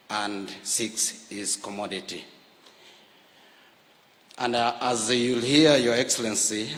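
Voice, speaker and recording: A Kenyan man giving a formal public speech to political leaders, measured, with frequent fillers, rising to stress key points. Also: fairly low pitch, a bit bright. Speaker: health worker leader addressing a political forum. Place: large university hall. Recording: reverberant hall.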